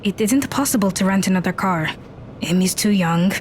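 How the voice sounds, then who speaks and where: A woman's voice: mid-range voice, expressive, moderate pace, a little mellow, a little hiss or hum, natural voice. Woman playing an aloof, curt young woman. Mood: dismissive. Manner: dry, dismissive. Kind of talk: audio drama acting.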